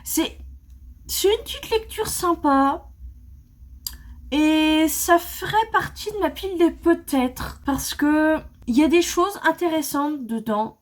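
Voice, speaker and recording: A woman's voice: high-pitched, slow pace. A woman reviewing her manga in a casual, hesitant way, with many fillers and restarts. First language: not English. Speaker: manga vlogger. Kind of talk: casual book review chat.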